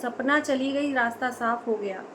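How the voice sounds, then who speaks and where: A woman's voice: sits high, unhurried. A woman from India giving opinionated vlog commentary in an emphatic way, pressing her points with rhetorical questions. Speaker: online commentator. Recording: noticeable background noise.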